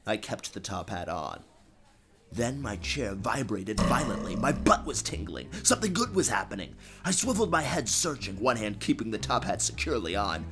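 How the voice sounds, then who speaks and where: A man's voice: fairly low pitch, sing-song, moderate pace. A man giving dramatic, self-serious first-person narration of a scripted comic story in a theatrical manner. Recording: music under the narration.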